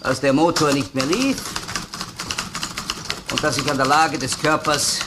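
Actor as a probing police investigator, noisy old recording. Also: male voice, a little high, steady tone, a little slow, lightly acted. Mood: measured, dictating. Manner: probing, with questioning hums and tag words. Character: male police investigator, probing, courteous, dryly persistent. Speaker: actor in a crime radio play. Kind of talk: German radio crime drama acting, interrogation and dictation.

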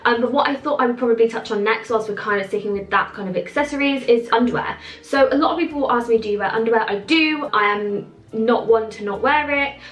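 A woman's voice: on the high side, fast, few pauses, a bit bright, reverberant, faint music behind, rough recording. A young woman vlogging casually to camera about gym gear, chatty and full of "like" fillers. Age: young adult. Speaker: fitness vlogger. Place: small room indoors. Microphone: camera in front at desk distance.

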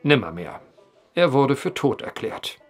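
An actor playing a brooding, eloquent storyteller at a bar in an audio drama, wordy and theatrical. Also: male voice, mid-range voice, sing-song, measured pace, faint music behind. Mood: grave.

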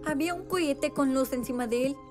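Woman voicing a very high-pitched little boy rabbit. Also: a little fast, natural voice. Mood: anxious, urgent. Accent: Latin American Spanish. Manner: expressive, exclamatory, childlike. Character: small excitable boy rabbit, tender and playful. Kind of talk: children's cartoon dubbing. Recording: music behind the voice.

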